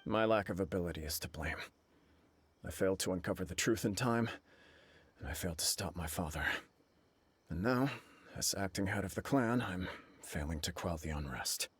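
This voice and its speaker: Earnest, low-voiced clan heir. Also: male voice, lively intonation, moderate pace, husky, exaggerated voice. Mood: self-reproaching. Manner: earnest, heavy with duty. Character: young male noble clan heir, earnest and self-reproaching. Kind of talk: game cutscene dialogue.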